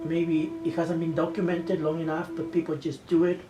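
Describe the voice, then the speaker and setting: A man whose first language is Spanish explains with eager energy, stopping and restarting words as he goes. He sounds set back from the microphone. Clear music plays behind him. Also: on the high side, flat delivery, moderate pace. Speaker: quena player. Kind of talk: documentary explanation. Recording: distant mic with loud music behind.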